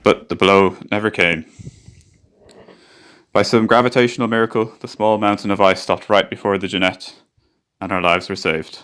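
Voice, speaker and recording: A man voices a ship's crewman recalling a perilous voyage, speaking gravely in a low voice. The narration is steady and reflective, as in a radio play. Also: normal speed, long pauses, close to an everyday voice.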